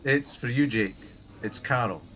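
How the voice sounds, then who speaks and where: A man's voice: medium pitch, unhurried, a bit bright, a little hiss or hum, lightly acted. A male actor playing a reserved, dry-witted helpline counsellor in a radio play, wry and sparing with words. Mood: businesslike. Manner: laconic, wry.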